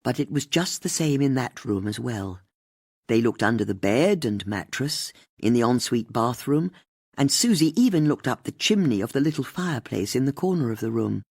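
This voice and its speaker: Husky, expressive audiobook narrator. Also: medium pitch, normal speed, no room sound, studio quality. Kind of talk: scripted mystery fiction narration.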